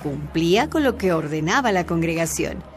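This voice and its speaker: Brief: female narrator, calm scripted reading, clear music underneath. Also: on the low side, moderate pace.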